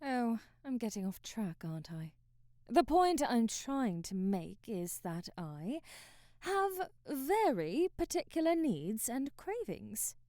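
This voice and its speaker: A woman's voice: a little high, sing-song, takes it slowly, dark tone, dry recording, studio quality. A woman playing an eccentric traveling magician, brash, teasing and flirtatious, in an intimate, theatrical ASMR roleplay. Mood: hesitant, candid. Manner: theatrical, teasing, drawling asides and murmurs.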